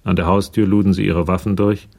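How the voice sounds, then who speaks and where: Very deep, gravelly male narrator. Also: little pitch movement, fairly quick, a little mellow. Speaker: radio play narrator. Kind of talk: scripted narration, literary radio drama.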